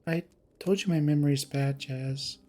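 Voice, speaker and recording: A man voicing a young female cat character in a story, forgetful, sheepish and evasive, with a halting, babyish way of talking. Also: fairly high pitch, fairly even intonation, mellow, soft background music, lightly acted. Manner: halting, filler-heavy, babyish.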